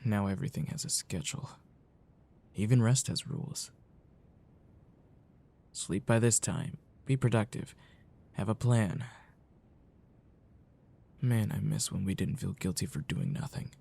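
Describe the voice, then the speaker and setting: A man playing a caring, wistful childhood friend in an ASMR roleplay, talking softly with a halting, reflective delivery. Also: lowish voice, creaky, husky, heavily acted. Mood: weary, wistful. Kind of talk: ASMR roleplay, soft intimate talk.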